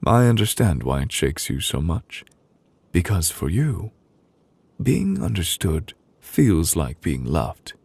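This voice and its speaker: A man playing a solemn, devoted fantasy judge in an intimate ASMR roleplay, speaking gently and deliberately in a husky voice, comforting his beloved. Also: sits low, very animated, no room sound, heavily acted. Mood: soothing, earnest. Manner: slow, deliberate, gently reassuring with pauses. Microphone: close, dry studio microphone.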